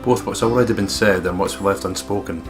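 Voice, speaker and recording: Male let's play host, deep voice, reading story narration over guitar game music. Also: fairly even intonation, brisk. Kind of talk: reading visual novel narration aloud.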